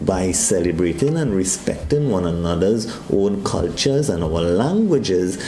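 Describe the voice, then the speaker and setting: A Trinidadian man gives a devotional talk on a morning broadcast, preaching to his listeners. His voice sits low and mellow, with sudden high swoops in pitch, and the sound rings in an echoey room. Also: sing-song, measured pace. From Trinidad and Tobago.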